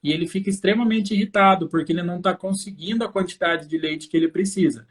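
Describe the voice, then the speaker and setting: A Brazilian man with a slightly high voice hosts a live class for parents. He explains things fluently, step by step, and addresses his viewers directly. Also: fast talker. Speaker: host, infant osteopath. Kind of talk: live educational talk to parents.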